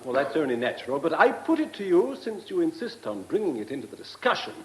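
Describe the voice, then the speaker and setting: A pompous, easily flustered civil servant in a radio play. The man's voice is high and swooping, halting yet condescending, in an echoey hall. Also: wildly swooping pitch, a little mellow. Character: officious, patronising, flustered male ministry bureaucrat.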